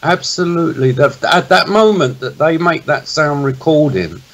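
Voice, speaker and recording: A man's voice: fairly high pitch, moderate pace, a bit bright. A man talking as a panellist in a conversational discussion, making his points emphatically. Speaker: talk show panellist.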